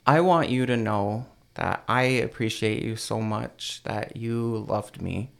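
A man's voice: on the low side, slow pace, vocal fry. A man, a podcast guest, telling a personal story in casual chat, with many fillers and restarts.

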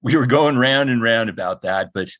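A deep-voiced man improvising a screenwriter in a comedy scene, with a rambling, stumbling delivery. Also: normal speed, fairly bright tone, highs cut off.

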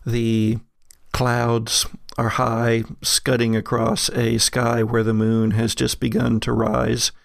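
Slow, creaky-voiced man narrating. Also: mid-range voice, completely flat delivery, no room sound, clean studio sound. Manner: halting, descriptive storytelling. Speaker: game master. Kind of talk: narrating a horror tabletop game.

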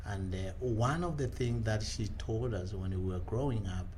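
A middle-aged man from Kenya with a Kenyan accent, a guest telling his life story in an interview, earnest and halting with many hesitations. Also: on the low side, a little mellow, slight background noise. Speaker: guest, leadership trainer. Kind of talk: conversational interview.

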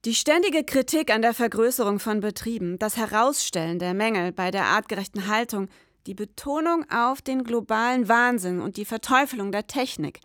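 A woman's voice: on the high side, expressive, normal speed, fairly bright tone, no echo, clean studio sound. A woman playing a cynical, exasperated detective in a satirical noir podcast drama, sceptical and dramatic. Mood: accusing. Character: cynical, exasperated female detective sidekick in a parody.

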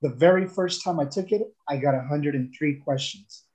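A man's voice: a little high, moderate pace, a little mellow. A young man from El Salvador giving a casual, rambling testimonial, full of fillers and restarts.

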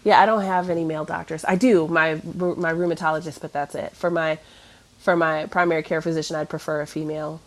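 A woman's voice: low voice, little pitch movement, brisk. A woman in her thirties chatting casually, sounding stuffy and nasal from a cough, rambling with many fillers and restarts. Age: 30s. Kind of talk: casual chat.

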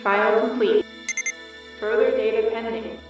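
A woman's voice: on the high side, fairly even intonation, normal speed, lightly acted. A woman voicing an impassive ship computer in an audio drama, making clipped, formal, impersonal announcements. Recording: very echoey, muffled, distant, music behind.

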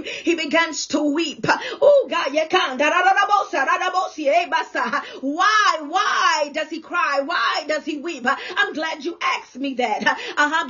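A woman's voice: sits high, a bit bright. A woman preaching a fervent charismatic sermon in an emphatic, repetitive, exclamatory manner, with frequent interjections. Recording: dull, lo-fi audio.

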